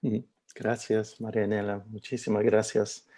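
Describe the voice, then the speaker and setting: A middle-aged man from Puerto Rico giving an academic talk in a webinar, speaking haltingly with many fillers and restarts. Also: fairly low pitch, completely flat delivery, mellow. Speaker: guest speaker, researcher.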